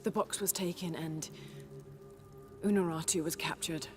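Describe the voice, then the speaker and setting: A woman voicing a determined adventurer who plans and acts quickly. Her voice is a little low and steady, brisk and resolute. Music plays strongly behind her in a game cutscene. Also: lightly acted. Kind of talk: video game cutscene acting.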